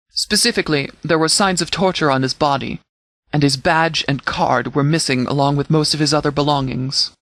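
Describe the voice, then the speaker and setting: A man playing a stern, formal sheriff in a video game, giving orders in a measured, authoritative way. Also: on the high side, a bit bright, no echo, close to an everyday voice. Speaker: sheriff and commander. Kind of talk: scripted game dialogue, mission briefing.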